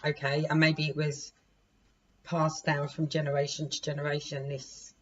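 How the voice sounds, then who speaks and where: A hoarse woman in her forties giving a casual tarot reading to camera, chatty and laughing at herself as she repeats and restarts. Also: low-pitched, flat delivery, normal speed. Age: 40s. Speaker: tarot reader. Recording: dull lo-fi recording with faint noise.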